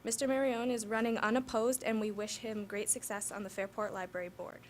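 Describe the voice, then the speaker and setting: A woman's voice: mid-range voice, little pitch movement, a little fast, a little hiss or hum. A woman reading a candidate introduction aloud.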